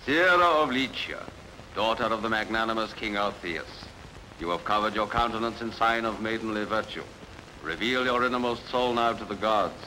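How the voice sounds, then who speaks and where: Man as ancient high priest, deepish forceful voice, solemn, ceremonial, declamatory film dub. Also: normal speed, slight background noise, low-quality recording.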